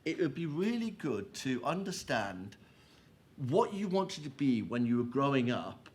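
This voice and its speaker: A man's voice: mid-range voice, big pitch swings, unhurried, a little mellow. A man chairing a conference panel, talking with many fillers, restarts and "you know"s. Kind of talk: hesitant conference moderation.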